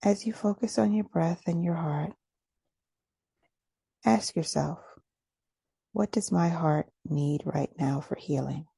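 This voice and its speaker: A woman leading a guided meditation as a teacher, speaking slowly, gently and deliberately in a breathy, soothing voice with long pauses. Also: sits low, no echo.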